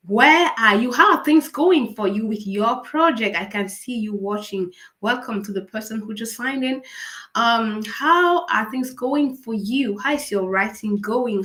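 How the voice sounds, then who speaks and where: A woman with a raspy voice from a cold, hosting a live writing-coaching session, upbeat and encouraging, asking viewers many questions. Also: mid-range voice, a bit bright, rough recording. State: fighting a cold. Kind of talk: live-stream coaching talk.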